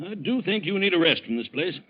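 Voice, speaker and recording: An actor playing a brisk, paternal male bank executive in a 1940s radio drama style. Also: high voice, big pitch swings. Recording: muffled, lo-fi 1940s radio recording.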